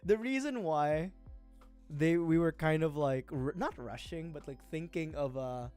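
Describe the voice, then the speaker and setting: A man's voice: on the high side, unhurried, darkish tone, clean tone, faint music behind. A young man from the Philippines, chatting casually and rambling on a livestream, laughing often. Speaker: vtuber streamer. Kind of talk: casual chat while building a model kit.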